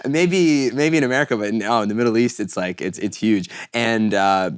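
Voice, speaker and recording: A man's voice: on the low side, moderate pace, smooth, no room sound. A man as a podcast guest, easygoing and hesitant with many restarts, talking into a studio mic. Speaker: guest, comedian and actor. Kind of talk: casual interview chat.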